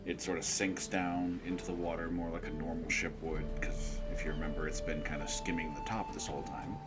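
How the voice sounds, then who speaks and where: A man working as game master, narrating a tabletop game in a halting, conversational way. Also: rumbling low, level tone. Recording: quiet mic under guitar music.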